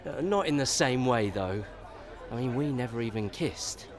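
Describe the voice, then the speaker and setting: An actor playing a tipsy, sulky young son with hurt feelings in a radio soap. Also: male voice, medium pitch, lively intonation, moderate pace, a crowd in the background, natural voice. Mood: tipsy, self-blaming.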